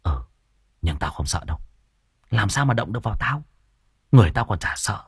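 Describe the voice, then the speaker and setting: A man's voice: on the low side, sing-song, brisk, slightly rough, no room sound, studio quality, full character voice. A man voicing a cruel, scheming old woman who talks hard and contemptuously. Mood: scornful.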